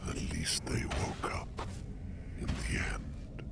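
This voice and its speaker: A man voicing a courteous, contemplative sleep demon in a slow, formal ASMR roleplay, with a gravelly half-whisper and long pauses. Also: close to an everyday voice. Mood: brooding. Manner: measured, formal, drawn-out phrases with long pauses. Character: ancient-sounding male sleep demon, courteous, contemplative, gently eerie.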